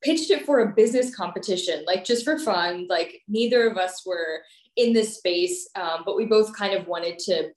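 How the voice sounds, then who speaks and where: A woman's voice: medium pitch, moderate pace. A young woman giving casual, chatty interview answers peppered with "like". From United States and Pakistan. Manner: informal, chatty, frequent "like". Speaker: podcast guest, nonprofit founder. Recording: roomy, echoey sound.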